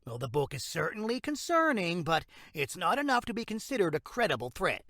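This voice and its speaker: A man's voice: high-pitched, very animated, a little fast, bright, forceful tone, no room sound, studio quality, full character voice. A man acting as a frank, condescending small-town sheriff in an audio drama. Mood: matter-of-fact, skeptical. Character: dismissive, bureaucratic small-town male sheriff.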